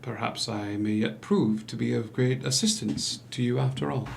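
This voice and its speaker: An actor playing a courteous, hesitant gentleman doctor new in town, speaking in formal, halting phrases at a theatrical table read. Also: medium pitch, vocal fry, a little hiss or hum. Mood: deferential, ingratiating. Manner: formal, halting, old-fashioned politeness. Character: courteous, hesitant male doctor newly arrived in town, subtly ingratiating.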